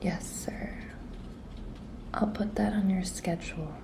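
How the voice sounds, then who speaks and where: Slow, whispery, flirty office intern played by a woman. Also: mid-range voice, fairly even intonation. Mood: pleased, flirtatious. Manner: breathy, coy, slow and deliberate, often dropping to a whisper. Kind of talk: whispered ASMR roleplay.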